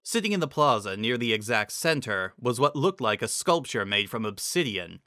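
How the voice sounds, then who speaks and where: Bright, animated story narrator. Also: mid-range voice, normal speed, no echo, studio quality. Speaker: narrator reading fan fiction. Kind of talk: dramatic scripted story narration.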